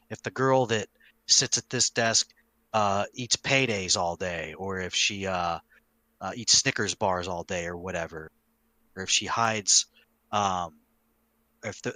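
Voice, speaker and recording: Bright, low-pitched man explaining game storytelling. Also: no room sound. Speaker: podcast host and game master. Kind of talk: casual podcast talk, explaining tabletop game storytelling.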